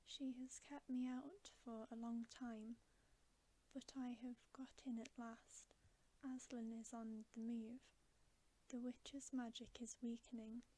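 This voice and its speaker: A huge, kindly old storybook gift-giver, acted out by a woman reader. He speaks in a breathy whisper, stately and glad. The pace is slow and the tone mellow. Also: fairly high pitch, level tone, poor audio. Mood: solemn, glad. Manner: stately, deliberate.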